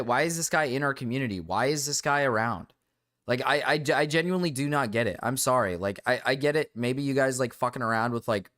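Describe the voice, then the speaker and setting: A young man streaming and ranting to his chat, rambling with restarts and fillers, his voice going low and cracking. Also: fairly bright tone. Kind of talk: casual livestream rant to chat.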